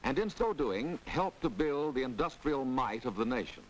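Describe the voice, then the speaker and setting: An American man playing a formal town treasurer, giving a rhetorical, deliberate speech in 1940s instructional film style. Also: mid-range voice, animated, natural voice, rough recording. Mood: measured, didactic. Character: formal town treasurer lecturing on economic principles. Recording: hissy 1940s film soundtrack.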